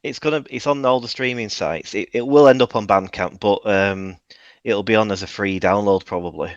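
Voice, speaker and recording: Low-voiced man, modest producer. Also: normal speed, dry recording, lo-fi sound. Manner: modest, self-deprecating, rambling with fillers. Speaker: music producer guest. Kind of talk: casual radio interview chat.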